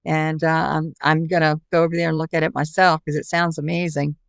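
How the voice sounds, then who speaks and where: A woman podcast host speaks in a casual, conversational interview style. Her voice is a little low, clear and resonant, recorded dry without room sound. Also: flat delivery, brisk, no room sound.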